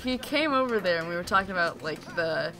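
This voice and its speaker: A man co-hosting a game playthrough, chatting and telling an animated story. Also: sits high, a little fast. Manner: animated storyteller, laughs easily. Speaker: let's play co-host. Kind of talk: casual chat over gameplay. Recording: faint game music behind.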